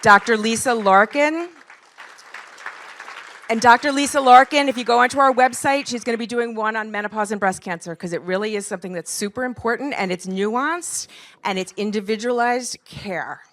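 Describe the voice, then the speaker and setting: A woman's voice: a little high, moderate pace, a bit bright, a faint crowd, a little hiss or hum. A woman in her fifties, a nonprofit founder giving candid, chatty closing remarks to a live audience and rallying them with questions. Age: 50s.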